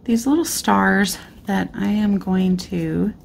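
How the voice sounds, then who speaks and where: Slow, gravelly-voiced woman crafting. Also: medium pitch, slight background noise. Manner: relaxed, chatty, thinking aloud with fillers. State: slightly losing her voice, clearing throat. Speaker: card-making tutorial host. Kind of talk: casual crafting tutorial narration. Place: indoor craft desk. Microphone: overhead camera, hands only on craft mat.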